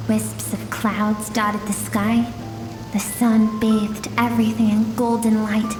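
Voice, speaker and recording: A gentle, mysterious guide telling memories in a video game. Her voice is slightly high, soft and wistful, with deliberate phrasing. It rings with heavy echo over clear music. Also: little pitch movement. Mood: wistful, nostalgic. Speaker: narrator and guide. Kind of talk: dramatic storytelling in a video game. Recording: echoing hall reverb with music.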